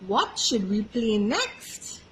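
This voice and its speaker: A woman voicing a gentle, encouraging squirrel father in a children's storybook read-aloud. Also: mid-range voice, very animated, slow pace, a little hiss or hum. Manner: soothing, encouraging.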